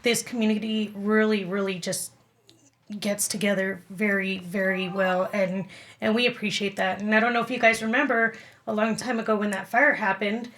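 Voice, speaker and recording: A woman speaking casually as a club guest in an interview, earnest and a little halting. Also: mid-range voice, fairly even intonation, a bit bright, a little hiss or hum. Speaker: amateur radio club guest.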